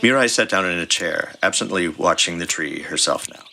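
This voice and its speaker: A man's voice: low-pitched, normal speed, vocal fry, bright, forceful tone. A man who co-hosts a podcast, reading a scripted story as narrator. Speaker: podcast host and story reader. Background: music.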